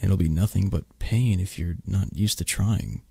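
A man playing a gentle, teasing, protective dragon boyfriend at university in an intimate ASMR roleplay, speaking softly and coaxingly to the listener. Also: sits low, measured pace, vocal fry, breathy edge, dry recording, heavily acted. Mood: caring, gently scolding.

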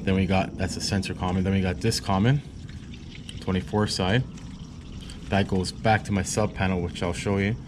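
Young male tradesman, very low voice, informal how-to patter, noisy basement recording. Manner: plain-spoken, informal, pointing things out step by step. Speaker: HVAC installer showing his work. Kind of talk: casual how-to walkthrough. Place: basement utility room.

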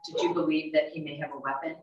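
A woman's voice: sits low, little pitch movement, fast, darkish tone, muffled recording, slight background noise. An older woman acting as prosecutor, putting short, orderly questions to a witness in formal court style. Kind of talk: formal direct examination. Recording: echoey, distant video call audio.

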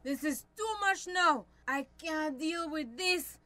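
Distraught drunken woman, very high wailing voice, slow, radio drama. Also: sharp tone, dry recording, exaggerated voice. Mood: anguished. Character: distraught, drunken woman defying a crime boss.

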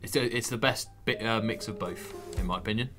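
A man's voice: lowish voice, fairly quick, over music. A young man in a casual group chat as a cast member, tentative and agreeable in manner. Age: young adult.

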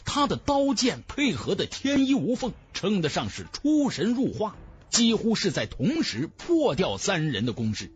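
A man's voice: high voice, wildly swooping pitch, moderate pace, breathy edge. A man narrating a fantasy novel in a theatrical, emphatic style, as a scripted audiobook.

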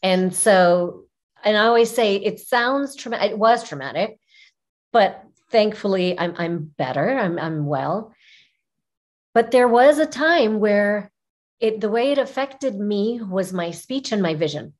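A middle-aged woman whose first language is Arabic, telling personal stories as an interview guest in an expressive way, repeating phrases for emphasis. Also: medium pitch, a little slow. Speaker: podcast guest, image consultant. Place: small furnished room indoors, video call. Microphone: video call, seated at webcam distance.

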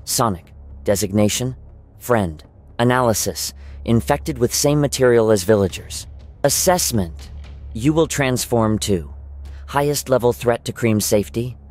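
Cartoon guardian robot, male actor: cold, logical, clipped phrases, medium pitch, music underneath. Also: a little slow, natural voice. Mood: cold, threatening. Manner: clipped, mechanical, deadpan. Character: stern protective combat robot, coldly logical. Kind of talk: dramatic comic dub. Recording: music behind the voice.

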